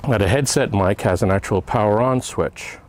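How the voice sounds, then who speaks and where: A man's voice: fairly low pitch, moderate pace, noisy background. A man giving a casual hands-on product demonstration outdoors, thinking aloud in short step-by-step remarks. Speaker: product reviewer. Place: outdoor backyard.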